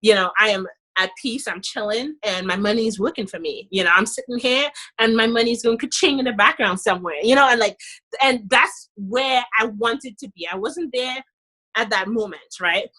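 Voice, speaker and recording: Bright Trinidadian woman, emphatic. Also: a little high, normal speed, low-quality recording. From Trinidad and Tobago. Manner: animated, emphatic, frequent "you know". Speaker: podcast guest, dentist and author. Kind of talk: casual interview chat.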